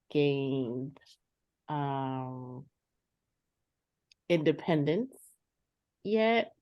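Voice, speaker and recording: A weary, protective older sister, played by a woman speaks low and unhurried, hesitating and letting her sentences trail off. The sound is a little dull. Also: warm tone. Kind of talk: improvised dramatized therapy session.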